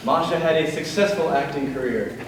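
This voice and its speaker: Stage comedy role: sarcastic, tired middle-aged brother played by a young man, higher-pitched, heard from far off in a noisy hall. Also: fairly quick, natural voice. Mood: dry, resigned. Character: weary, sarcastic middle-aged brother, placating but needling. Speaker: actor in a school play. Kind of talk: live amateur stage comedy acting. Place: large indoor stage in an auditorium. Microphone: distant camera microphone. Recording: echoey auditorium from far off, noisy.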